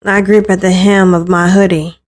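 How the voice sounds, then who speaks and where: A woman reading first-person scripted story narration aloud from a visual novel, in an expressive way. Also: medium pitch, normal speed.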